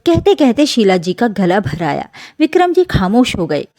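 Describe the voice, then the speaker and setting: A woman's voice: medium pitch, very animated, moderate pace, mellow, no room sound, clean studio sound. A woman reading a scripted, emotional family story as a dramatic narration.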